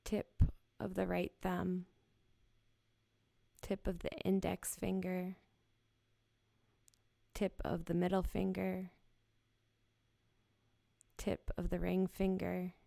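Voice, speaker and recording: A woman guiding a yoga nidra body scan in a gentle, soothing, unhurried manner with short, spaced phrases. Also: fairly low pitch, completely flat delivery, creaky, no echo. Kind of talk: guided meditation, slow body scan.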